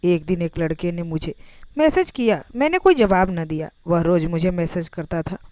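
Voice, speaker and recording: A woman's voice: lowish voice, animated, normal speed, warm tone, no room sound. A woman reading a scripted, first-person emotional story as its narrator.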